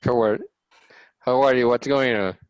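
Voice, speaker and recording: A man's voice: mid-range voice, fairly even intonation, moderate pace, smooth, no echo. A man with a Colombian accent, a native Spanish speaker, chatting casually on a video call. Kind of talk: casual chat. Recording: dull video call audio.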